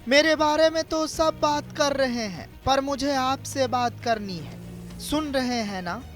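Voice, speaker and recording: A man voicing an aggrieved loudspeaker in a theatrical, plaintive monologue for television news. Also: very high voice, slow, soft background music. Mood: dramatic, proud. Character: aggrieved talking loudspeaker, a machine pleading its innocence. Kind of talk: dramatic scripted monologue for TV news.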